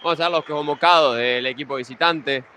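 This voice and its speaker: A man's voice: on the high side, fairly quick, bright, forceful tone, slight background noise. A young man with an Argentine Spanish accent, giving pre-match futsal commentary in a conversational way, running through league standings and team lineups.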